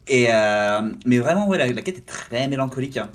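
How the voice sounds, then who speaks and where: Male podcast co-host, mid-pitch, halting restarts, moves the talk on. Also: expressive, a little hiss or hum. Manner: hesitant restarts, steers the discussion. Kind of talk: casual chat.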